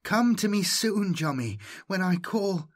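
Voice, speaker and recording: Male narrator as ancient machine intelligence: raised pitch, husky, seductive, moaning, hypnotic, audiobook villain. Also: expressive, measured pace. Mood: yearning, pleading. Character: ancient mad robot mind, seductive, coaxing, yearning.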